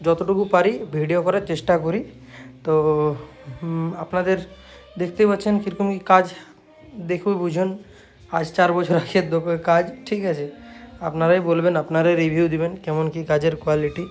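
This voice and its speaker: A man's voice: a little high, flat delivery, fairly quick, pauses often. A man with a West Bengal Bengali accent, a repair shop owner talking casually to viewers, repeating himself and often adding confirming tags. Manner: repetitive, habitual confirming tags. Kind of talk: casual shop video, showing work to viewers.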